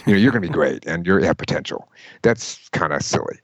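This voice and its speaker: A man giving career advice in an interview, emphatic and lively, often quoting people in mock voices. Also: low voice, fast talker, no room sound. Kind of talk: conversational interview.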